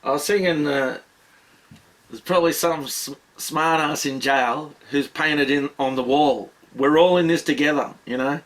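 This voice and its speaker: A man's voice: a little high, unhurried, fairly bright tone, poor audio. A man hosting a casual chat, making dry jokes. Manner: dry joking. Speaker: host.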